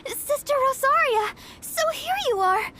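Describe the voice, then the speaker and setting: A flustered, high young sister. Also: female voice, expressive, measured pace, background noise. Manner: eager, flustered, stammering. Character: earnest, trusting young church sister, easily flustered. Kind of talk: video game cutscene dialogue.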